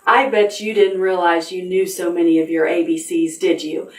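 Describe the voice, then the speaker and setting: A woman's voice: fairly low pitch, little pitch movement, moderate pace, reverberant, distant mic. A woman librarian leading preschool storytime, sing-song and encouraging as she talks to small children. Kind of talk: preschool storytime with songs and reading.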